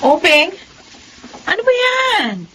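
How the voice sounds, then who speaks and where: An actress with a Filipino accent playing a bossy, impatient household employer who nags and scolds in a radio drama. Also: female voice, very high-pitched, sing-song, takes it slowly, sharp tone, soft background music, exaggerated voice, low-quality recording. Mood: impatient, scolding. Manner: nagging, exclamatory.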